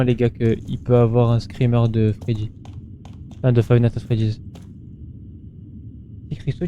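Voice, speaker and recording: A man's voice: medium pitch, dark tone, dull audio, slight background noise. A man doing casual gameplay commentary in an informal, slangy way, muttering to himself and chatting to his viewers. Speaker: gaming streamer.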